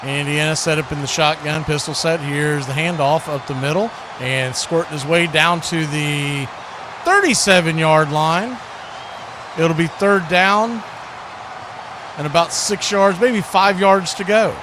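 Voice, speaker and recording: A male play-by-play announcer calls live football in a high-pitched voice. Clear background noise sits behind his commentary as he follows the game snap by snap. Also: unhurried.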